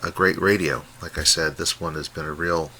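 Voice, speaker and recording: Very deep, bright-voiced man, casual. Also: fairly even intonation, normal speed. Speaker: radio hobbyist presenting a comparison. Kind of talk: casual unscripted demonstration talk. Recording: faint background noise.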